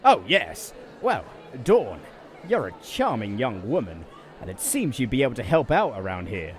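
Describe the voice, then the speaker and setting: A man's voice: medium pitch, wildly swooping pitch, normal speed. A man playing a courteous, commanding leader of a wasteland settlement in an audio drama, with slightly old-fashioned manners. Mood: warm, grateful. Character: kindly settlement leader under raider attack, courteous, commanding. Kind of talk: post-apocalyptic audio drama acting.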